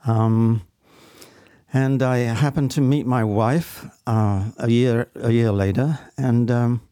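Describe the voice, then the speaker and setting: A man in his seventies with an English accent, speaking reflectively and haltingly as an interview guest. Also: mid-range voice, steady tone, warm tone, clean tone, no echo, studio quality. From England. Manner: halting, deliberate.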